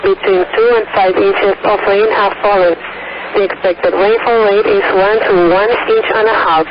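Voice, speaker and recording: A man's voice: sits high, fairly even intonation, a little slow. A man formally reading a scripted emergency weather bulletin as a radio announcer. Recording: muffled, noisy weather radio broadcast.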